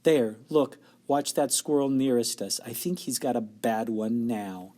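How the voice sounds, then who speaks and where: A man voicing an eccentric, brisk factory owner who explains things insistently in a storybook reading. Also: mid-range voice, dark tone, lightly acted. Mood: explaining.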